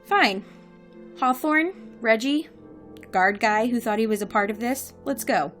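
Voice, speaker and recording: A woman's voice: fairly high pitch, lively intonation, measured pace, a bit bright. A woman playing a sardonic, commanding interrogator in an audio drama, curt and sarcastic. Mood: sardonic, bargaining. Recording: faint music behind.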